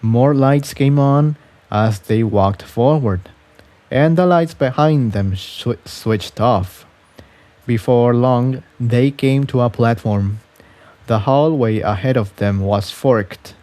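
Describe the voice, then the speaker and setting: A man narrating a scripted adventure story as a reader of fan fiction, with a somewhat halting delivery that stumbles over words. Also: medium pitch, mellow.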